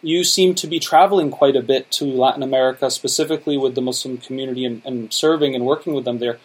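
A man's voice: medium pitch, steady tone, fast talker, slightly rough, rough recording. A man hosting a conversational interview, reflective and thinking aloud with fillers. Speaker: interview host.

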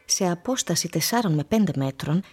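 Low-voiced, measured woman narrator. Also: animated, darkish tone, husky. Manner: measured, deliberate. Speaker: narrator of a radio play. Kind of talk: scripted literary narration.

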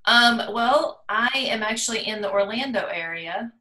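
A woman's voice: on the high side, normal speed, sharp tone. Woman from virginia, chatty and friendly, casual podcast guest, echoey room sound. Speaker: hand-lettering artist guest.

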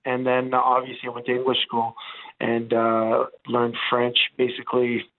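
A man's voice: medium pitch, monotone, normal speed, slight background noise. A man in his forties from Montreal, whose first language is Italian, chatting on the radio with lots of fillers. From Montreal, Canada. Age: 40s. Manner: chatty, rambling, many fillers. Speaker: radio guest, former football player. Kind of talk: casual radio chat. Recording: tinny phone line.

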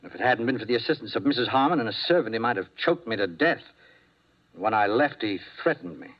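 An actor playing a nervous, fussy doctor in 1950s radio drama, speaking hesitantly and formally. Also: male voice, medium pitch, expressive, quick pace, lightly acted. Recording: muffled old radio broadcast.

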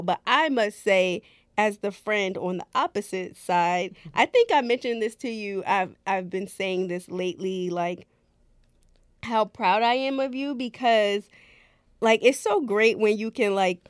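A woman co-hosting a podcast in casual chat, lively and talkative, laughing often. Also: medium pitch, unhurried, no echo, clean studio sound. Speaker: podcast co-host.

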